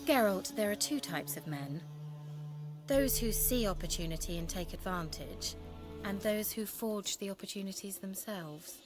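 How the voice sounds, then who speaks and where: Woman as a poised, coy sorceress, mid-pitched. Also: animated, normal speed, music in the background, lightly acted. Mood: coaxing. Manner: formal, coy. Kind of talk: video game acting.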